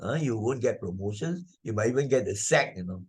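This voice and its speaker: A man's voice: lowish voice, animated, brisk, husky. An older man with a Malaysian accent, narrating and explaining a children's moral story as a teacher, in a didactic, repetitive way with frequent tag questions. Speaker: Sunday school teacher narrating slides.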